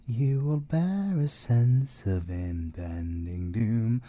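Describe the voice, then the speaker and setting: A British man playing a possessive, scheming siren in an ASMR audio roleplay, seductive and theatrical, with drawn-out words and whispers. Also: medium pitch, animated, warm tone, no room sound. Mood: menacing, singsong. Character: possessive, scheming male siren, obsessive lover and villain.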